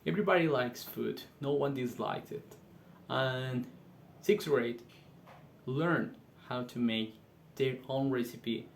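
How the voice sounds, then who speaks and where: A man's voice: medium pitch, takes it slowly, creaky, slight background noise. A man, a teacher, narrating a school video segment.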